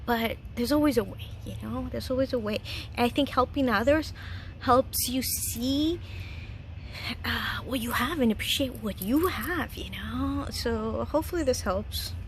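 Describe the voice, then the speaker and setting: A woman from Chile in her thirties talks through casual life advice from her home garden. Her voice sits a little high, and she is earnest and chatty, laughing easily. A faint background hiss runs under the recording. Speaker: vlogger.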